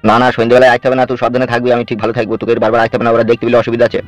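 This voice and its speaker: A man playing a wounded older village father in hospital, hushing and cautioning his son. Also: mid-range voice, flat delivery, racing, no room sound, faint music behind, natural voice. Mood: pleading.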